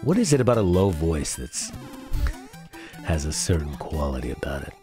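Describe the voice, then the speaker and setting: A hoarse man hosting a game stream, chatting casually and joking with his co-host and the chat. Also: rumbling low, sing-song, normal speed, dark tone, soft background music. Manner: joking, rambling, talks to chat. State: hoarse from a strained voice. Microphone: desk streaming mic.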